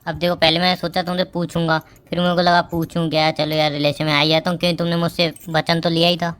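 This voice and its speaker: A man's voice: on the high side, flat delivery, quick pace, fairly bright tone, clear, resonant tone, lightly acted. A young man playing a stubborn, evasive boyfriend who argues and justifies himself in a dramatized phone-call quarrel. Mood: defensive. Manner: argumentative, self-justifying.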